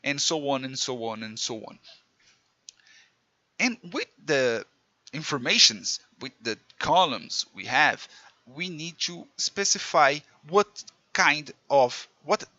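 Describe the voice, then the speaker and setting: A man's voice: mid-range voice, sing-song, slow pace, pushed and bright, dry recording. Portuguese-accented male tutor, self-mocking, clumsy English; slightly muffled, dry close-mic sound. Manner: chatty, self-mocking, non-native English with slips. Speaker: programming teacher. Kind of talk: casual screen-recorded coding lesson.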